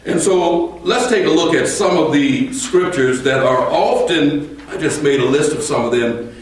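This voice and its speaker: Slow-preaching man in an echoey hall. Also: a little high, far from the mic, slight background noise. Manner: slow, deliberate, rising to emphatic preaching. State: strained voice. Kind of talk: sermon. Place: temple sanctuary.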